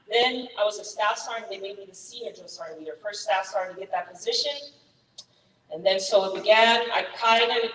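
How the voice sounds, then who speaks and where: A woman in her thirties, raised in Germany with German as her first language, telling her Army career story to fellow soldiers in a candid, energetic way. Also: mid-range voice, echoey room, big-room sound, far from the mic, rough recording. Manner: candid, energetic, many fillers. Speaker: Army first sergeant, guest speaker. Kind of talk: personal story talk to soldiers.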